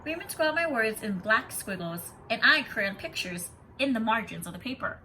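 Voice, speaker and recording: Female kindergarten teacher, bright higher voice, animated read-aloud delivery, noticeable background noise. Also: moderate pace, poor audio. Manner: animated, explanatory, addressing young children. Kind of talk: expressive picture-book read-aloud with teaching asides.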